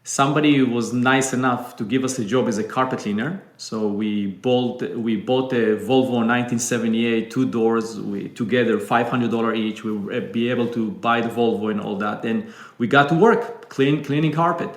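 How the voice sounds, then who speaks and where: A man from Israel with an Israeli accent, a guest telling his story in a calm, reflective way. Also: medium pitch, moderate pace. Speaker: business owner guest. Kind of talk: interview storytelling. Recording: echoey room.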